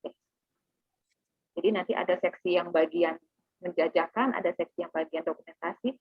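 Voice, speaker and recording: A woman's voice: on the low side, normal speed, slightly rough, no echo. A woman teaching an online class, explaining plans and often checking that students understand. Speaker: teacher giving a class briefing. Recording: narrow phone-like online call audio.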